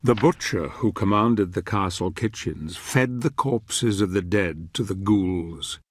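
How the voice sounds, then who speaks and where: Low, solemn dark-fantasy storyteller. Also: male voice, slight background noise. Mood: grave, foreboding. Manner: grave, measured, theatrical. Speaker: narrator. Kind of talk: dramatic scripted game narration.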